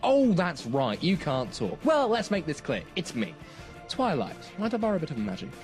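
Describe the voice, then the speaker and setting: Man playing animated time-traveller stallion, high-voiced. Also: normal speed, soft background music. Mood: triumphant. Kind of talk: fan audio drama acting.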